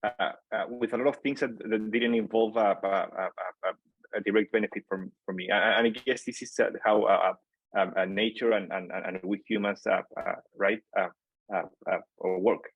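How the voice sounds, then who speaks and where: Halting Chilean-born man, low voice. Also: fairly even intonation, husky, dry recording, muffled recording. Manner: halting, many fillers and repeated words. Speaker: company founder, guest. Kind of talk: casual interview storytelling.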